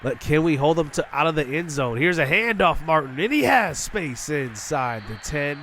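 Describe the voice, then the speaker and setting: A man with a congested voice giving excited play-by-play commentary on a football video game as a gaming channel host. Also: a little high, moderate pace, slight background noise. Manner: excited play-by-play, shouting on big plays. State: congested from illness.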